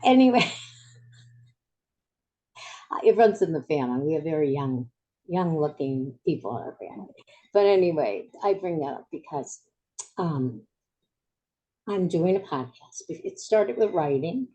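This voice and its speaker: A woman in her seventies hosts a podcast interview. Her voice sits low and sounds soft and dark. She talks in a chatty way and laughs easily at herself. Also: very animated, measured pace, pauses often, lo-fi sound. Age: 70s. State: recovering from voice loss. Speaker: podcast host.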